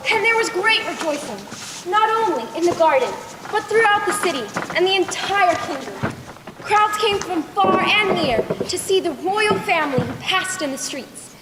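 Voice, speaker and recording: Casting: young girl playing clever, respectful young female storyteller, very high voice, formal stage delivery, echoing noisy hall. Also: expressive, normal speed. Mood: grand narrating. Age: young teenager. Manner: formal, theatrical projection. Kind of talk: school stage play acting. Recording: echoey hall, noisy distant stage recording.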